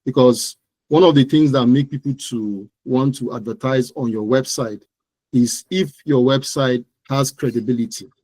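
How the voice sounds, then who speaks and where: A man's voice: medium pitch, moderate pace, a little mellow, no room sound. A man with a Nigerian accent answering as an interview guest, speaking conversationally and repeating phrases for emphasis. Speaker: interview guest, digital marketing entrepreneur. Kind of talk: conversational interview about own business.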